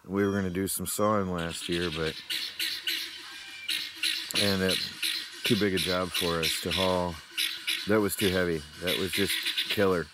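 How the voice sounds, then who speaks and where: A man's voice: sits low, slight background noise. A man casually narrating a walk-through of his homestead yard and workshop, rambling and plain-spoken, tired after a day of hard work, recorded on the camera mic. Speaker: homestead DIY vlogger. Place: outdoors in a yard, then a workshop.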